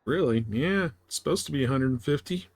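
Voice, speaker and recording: A man's voice: medium pitch, animated, a little fast, dry recording. A man livestreaming a space game, giving casual, offhand commentary and chatting with viewers in short remarks. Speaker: live streamer playing a space game.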